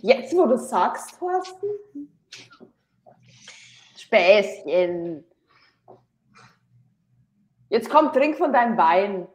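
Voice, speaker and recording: A woman's voice: mid-range voice, big pitch swings, drawn-out words, pauses often. A woman in her thirties chatting casually as a panelist on a video call. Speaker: livestream panelist. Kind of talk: casual chat. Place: home room, indoors. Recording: noisy video call with clicks.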